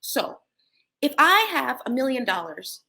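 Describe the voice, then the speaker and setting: A middle-aged American woman hosting a motivational talk, animated and laughing often as she speaks. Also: high voice, unhurried, vocal fry. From United States. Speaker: host.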